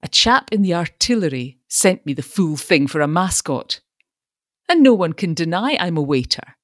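An impetuous young airman in love, voiced by a woman reader. He declaims his lines with bold, cocky ardour and a very lively, swinging pitch. It is a dry storybook reading. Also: normal speed, dry recording. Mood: daring, playful. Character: ardent, cocky young airman suitor, masterful and buoyant. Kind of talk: dramatised short story reading.

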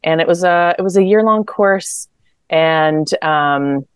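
A woman's voice: fairly low pitch, slow, smooth, dry recording, studio quality. A young adult woman from Manitoba, Canada, chatting as a podcast guest, with lots of fillers and restarts. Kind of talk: casual interview chat.

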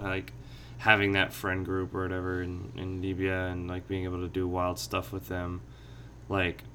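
A man's voice: lowish voice, a bit bright, slight background noise. A man chatting casually on a podcast, reflective and meandering as he thinks aloud.

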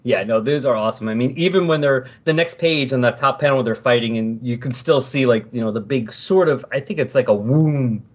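A man with a slightly husky voice talking casually about comic books as a podcast host, retelling scenes in an animated way. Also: medium pitch, fairly quick, few pauses, muffled recording. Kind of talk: casual comic book discussion.

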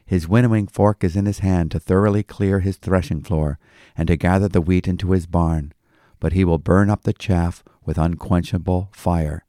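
A man speaking as a pastor, giving measured Bible commentary and reading scripture in a devotional style. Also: deep voice, fairly even intonation, warm tone, dry recording, clean studio sound. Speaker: pastor and host. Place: small office studio.

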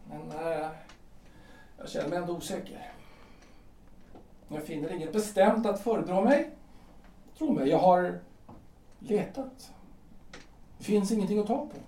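High-voiced anguished husband played by a man, roomy sound. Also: mellow. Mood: self-doubting. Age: 40s. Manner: halting, repeats phrases, emotional. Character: anguished middle-aged husband, brooding, insistent, self-doubting. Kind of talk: theatrical period audio drama acting. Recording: roomy, distant from microphone.

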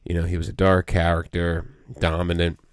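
Low voice guy, bit nasally, rambling podcast chat, super dry room. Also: completely flat delivery, moderate pace, dry recording. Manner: rambling, full of you know and um. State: a little nasal from allergies. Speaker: podcast co-host. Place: small home studio.

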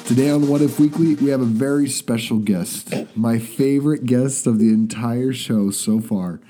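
A man's voice: medium pitch, normal speed, mellow, faint music behind. A young man with a stuffy nose from a cold, hosting a casual podcast and chatting with many restarts. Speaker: podcast host. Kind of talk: casual chat.

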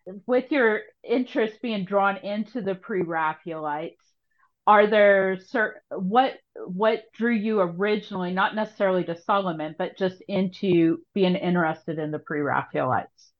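Woman, podcast host, conversational, wordy, thinking aloud, medium pitch, slightly muffled lo-fi recording. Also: unhurried. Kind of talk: casual conversational interview.

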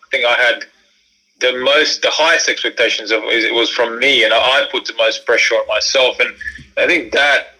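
Bright, forceful man. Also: mid-range voice, normal speed, low-quality recording. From Australia, born in Croatia. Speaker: podcast guest, former professional basketball player. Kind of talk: conversational interview storytelling.

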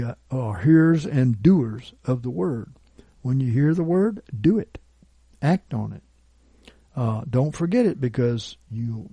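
A man's voice: medium pitch, dark tone, breathy edge, dry recording. A man preaching and praying informally as a Bible teacher, earnest and plain-spoken. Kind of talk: informal sermon and prayer.

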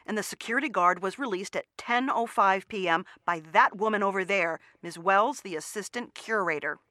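A man's voice: sits high, normal speed, bright, forceful tone, dry recording, clean studio sound. An actor in a radio play playing a dutiful police officer reporting to his superior. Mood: dutiful, matter-of-fact.

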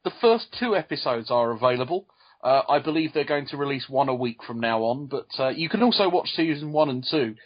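Man, husky, jokey podcast host, muffled lo-fi audio. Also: mid-range voice, lively intonation, a little fast. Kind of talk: casual chat. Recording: dull lo-fi sound.

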